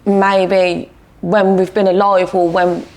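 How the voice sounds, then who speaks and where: A woman's voice: medium pitch, little pitch movement, slow pace, a little mellow. A woman with a British accent, a podcast guest speaking candidly and matter-of-factly about her own life. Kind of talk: personal testimony in conversation.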